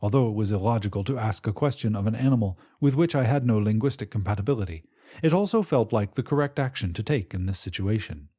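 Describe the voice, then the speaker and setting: A man reading first-person fiction as an audiobook narrator in a measured, precise, gentle storytelling delivery. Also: lowish voice, animated.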